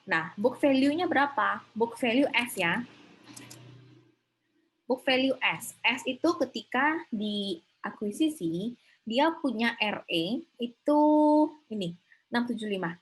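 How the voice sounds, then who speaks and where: A woman's voice: fairly high pitch, animated, slow pace, long pauses, fairly bright tone, low-quality recording. A woman giving an informal online accounting lecture, thinking aloud and often checking with her students through short questions. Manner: conversational, often seeking confirmation with short tag questions. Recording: slightly rough online class recording.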